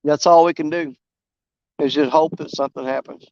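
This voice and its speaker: Man, hoarse, self-mocking outdoors streamer, clear and dry. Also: on the high side, fairly even intonation, normal speed, a little mellow, no room sound, highs cut off. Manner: rambling, self-mocking, drawling. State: losing his voice, coughing. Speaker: fishing vlogger streaming live. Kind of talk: casual live-stream chat while fishing. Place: outdoors by a river.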